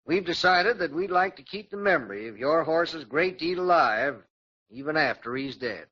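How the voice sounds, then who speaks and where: Earnest, courteous Texas councilman; folksy 1940s radio play, forceful tone, dull old recording. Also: fairly high pitch, animated, moderate pace, breathy edge, full character voice. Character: earnest, plain-spoken Texan city councilman, respectful.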